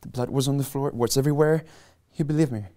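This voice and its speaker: A man's voice: medium pitch, fairly even intonation, fast talker, warm tone, natural voice. A man voicing a frightened young man framed for a murder, pleading and panicky, in a script table reading. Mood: panicked. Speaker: table read actor.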